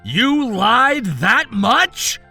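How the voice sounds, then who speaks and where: A man plays an earnest, indignant husband in a dramatized story. His voice is high and forceful, swinging widely in pitch, with emphatic delivery over faint music. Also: sing-song, extremely slow, vocal fry, slightly rough, full character voice. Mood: taken aback.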